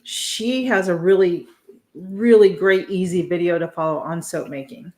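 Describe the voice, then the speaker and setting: A woman's voice: fairly low pitch, a little slow, darkish tone. An American woman appearing as a guest on a casual live chat, friendly and chatty. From United States. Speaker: guest homesteader.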